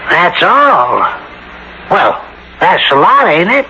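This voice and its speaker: A man's voice: sits high, sing-song, slow, pushed and bright, exaggerated voice. An actor in a 1970s radio drama playing a jovially domineering, stingy farmer uncle in his sixties, with folksy, repetitive rural speech. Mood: probing. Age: 60s. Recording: dull, noisy old radio broadcast recording.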